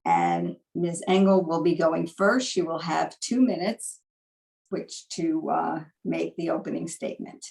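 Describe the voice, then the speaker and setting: A woman moderator announces debate rules in a measured, procedural way. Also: low voice, animated, gravelly, a little mellow, far from the mic.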